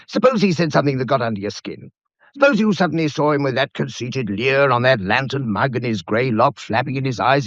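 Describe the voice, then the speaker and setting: An actor playing an earnest, accusing English gentleman in a period radio drama, pressing and clipped in an upper-class manner. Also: mid-range voice, breathy edge, no echo, highs cut off.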